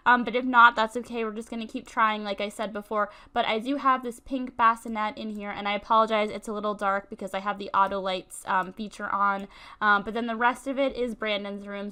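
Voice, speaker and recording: Young woman, stuffy-nosed from a cold, bright and a touch high, rambling let's-play host. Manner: chatty, many ums and likes. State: congested from a cold. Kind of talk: casual game commentary.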